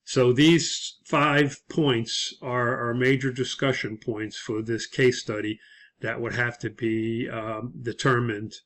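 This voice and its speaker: A male instructor with a mid-pitched voice and very little melodic movement. He explains material in a critical, analytical way, often setting out rhetorical questions as he goes through his lesson. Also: steady tone, slow pace, fairly bright tone. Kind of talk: recorded lesson, explaining a case study.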